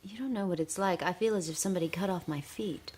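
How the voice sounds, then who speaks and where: A woman's voice: lowish voice, quick pace, a little mellow, lightly acted. An actress playing a woman who has lost her job and rights, strained and bitter, at times whispering. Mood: distraught. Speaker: narrator and protagonist. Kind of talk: radio drama. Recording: noisy recording with hiss.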